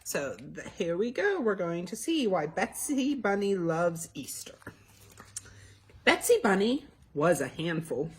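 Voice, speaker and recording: A woman's voice: on the low side, sing-song, unhurried, slightly rough. A woman reading a children's story aloud as a library storytime host, expressively, with asides and questions to young listeners.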